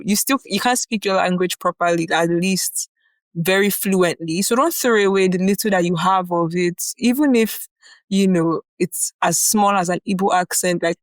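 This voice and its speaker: A young Nigerian woman with a Nigerian accent, co-hosting a podcast in a chatty, animated way, often laughing and filling with "like" and "you know". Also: medium pitch, no room sound. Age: young adult. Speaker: podcast co-host. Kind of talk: casual chat.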